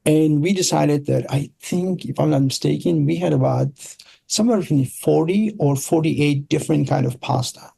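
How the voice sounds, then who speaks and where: A middle-aged man with a Turkish accent, telling stories casually as an interview guest, often halting and searching for words. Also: a little high, creaky, dark tone, breathy edge, no echo. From Turkey. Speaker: interview guest, product designer. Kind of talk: casual conversational storytelling.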